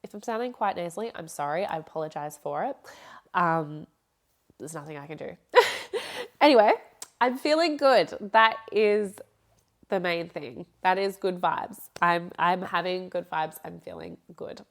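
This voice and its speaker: A woman's voice: fairly low pitch, normal speed, vocal fry. A young woman hosting a podcast, talking casually and rambling with frequent laughs, her voice nasal from a blocked nose. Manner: chatty, rambling, laughs often. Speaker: podcast host. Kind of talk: casual solo podcast talk.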